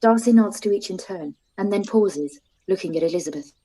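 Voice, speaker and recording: Mellow, husky woman narrator. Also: mid-range voice, fairly quick, low-quality recording. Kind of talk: reading stage directions in a radio play.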